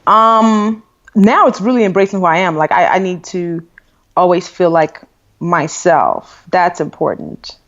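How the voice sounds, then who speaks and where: A woman in her thirties, born in Nigeria, speaks candidly and easily as an interview guest. She uses a lot of fillers, and her voice sits fairly low. The recording sounds dull and lo-fi. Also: a little slow. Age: 30s. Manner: candid, relaxed, frequent fillers. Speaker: guest author and blogger. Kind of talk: interview conversation.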